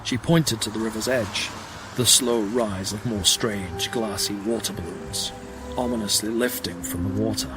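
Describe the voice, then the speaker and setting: A man's voice: on the low side, moderate pace. A man reading dramatic scripted narration for a science fiction audio drama. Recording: prominent music bed under the voice. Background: loud music.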